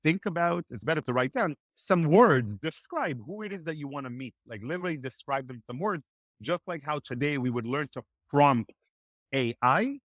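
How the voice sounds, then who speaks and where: A man whose first language is Hebrew, giving energetic, motivational networking advice as a podcast guest. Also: fairly high pitch, moderate pace, dry recording, poor audio. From Israel. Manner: energetic, motivational, acts out quoted dialogue. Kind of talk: animated podcast interview.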